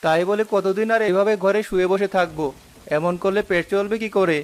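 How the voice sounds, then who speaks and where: A man's voice: high-pitched, a little fast, smooth, lightly acted. A man voicing a poor, worried village husband in a cartoon, grumbling and resigned. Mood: glum.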